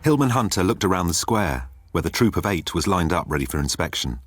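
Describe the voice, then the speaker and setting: A man's voice: sits low, big pitch swings, a little fast, soft background music. A man reading scripted comic science fiction as an audiobook narrator.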